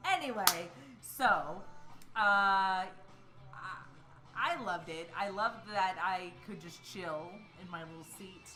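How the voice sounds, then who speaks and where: A woman's voice: medium pitch, animated, slow pace, music in the background. A tipsy woman co-hosting a movie show in giggly, chatty, casual banter with some swearing. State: tipsy from beer.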